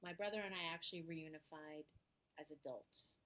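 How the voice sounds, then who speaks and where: A middle-aged woman with a New York accent, speaking as an interview guest about her own life. She tells her story in an animated, emotional way and acts out the voices of the people she quotes. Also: low-pitched, normal speed. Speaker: guest, therapist.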